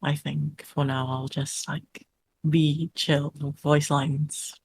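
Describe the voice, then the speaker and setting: A woman streamer from New Zealand with a New Zealand accent touched by Singaporean speech, chatting as an interview guest in a relaxed way full of "like". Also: low-pitched, slow, gravelly, husky. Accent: New Zealand with Singaporean traces. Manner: relaxed, uses "like" often. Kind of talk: casual interview chat.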